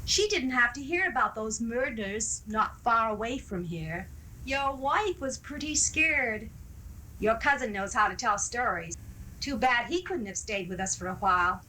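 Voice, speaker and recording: A woman's voice: a little high, animated, unhurried. A woman playing a resentful, nagging grown daughter in a 1970s community radio drama. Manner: sharp, nagging. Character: bitter, resentful grown daughter, hard and vengeful. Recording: noisy 1970s live radio drama recording.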